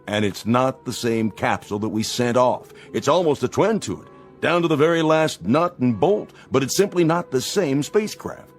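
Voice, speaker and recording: Grave, measured technical manager, played by a man, mid-range voice, radio drama with faint music. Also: medium pitch, animated, lightly acted. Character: sober, deferential, measured space program project manager. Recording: music bed underneath.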